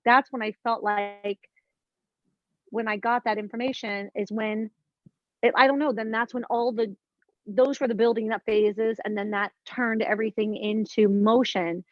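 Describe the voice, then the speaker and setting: A woman's voice: fairly high pitch, steady tone, moderate pace, no echo. A woman of fifty in a casual spiritual conversation as a guest, earnest and searching, circling back over her words as she thinks aloud. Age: 50s. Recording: dull lo-fi dry recording.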